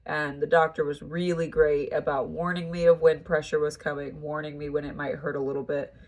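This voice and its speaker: Brief: young adult woman, lowish voice, stuffy nasal congestion, tired casual vlog talk. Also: fairly quick. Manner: tired, relaxed recovery chat. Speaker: farm vlogger.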